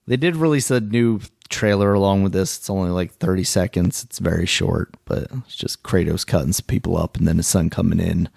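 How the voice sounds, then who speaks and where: Gravelly low-voiced man joking around. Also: fairly quick, hardly any pauses, darkish tone, no echo. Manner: joking, teasing. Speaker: podcast co-host. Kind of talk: casual chat.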